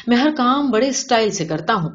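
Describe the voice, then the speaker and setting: A woman's voice: a little high, lively intonation, pitched above the performer's own voice, close to an everyday voice. Woman reading as a boastful male vice boss, muffled audio. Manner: showy, blunt, colloquial with English words. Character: rich, boastful male gambling-den and brothel boss. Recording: dull lo-fi audio.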